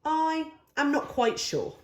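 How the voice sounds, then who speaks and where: A woman voicing a stubborn little girl, lively high voice. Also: takes it slowly, echoey room, full character voice. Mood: confident, matter-of-fact. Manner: emphatic, wordy, childlike phrasing. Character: stubborn, fussy, imaginative little girl, younger sister.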